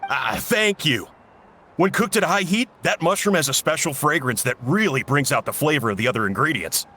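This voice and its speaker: A hearty, dramatic uncle cook. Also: male voice, a little high, expressive, normal speed, bright, forceful tone. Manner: hearty, chatty, a little dramatic. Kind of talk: game voice acting.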